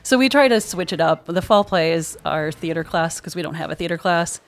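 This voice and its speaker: An American woman with a fairly low voice talks as a guest in a casual podcast interview. She sounds like a school theatre director explaining her program, chatty and informal, with plenty of "like" along the way. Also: animated, brisk.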